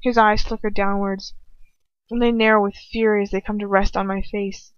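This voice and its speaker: A woman reading first-person fiction aloud as an amateur, dramatic but halting, with small slips and restarts. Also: mid-range voice, little pitch movement, clean tone, no echo. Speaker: amateur fan fiction reader. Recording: slightly dull, lo-fi home recording.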